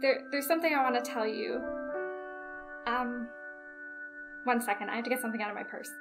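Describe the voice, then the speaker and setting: A woman's voice: on the high side, brisk, close to an everyday voice, low-quality recording. A woman in her thirties playing a lovestruck, nervous, earnest young woman in an improvised soap opera, hesitant and gushing. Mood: tender, nervous. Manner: gushing, hesitant, self-deprecating. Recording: loud piano music behind.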